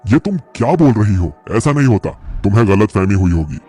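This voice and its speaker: A man's voice: lowish voice, big pitch swings, brisk, warm tone, breathy edge, faint music behind, voice pushed down. A man playing a stern, sceptical husband who scolds and dismisses, in a horror cartoon. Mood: dismissive.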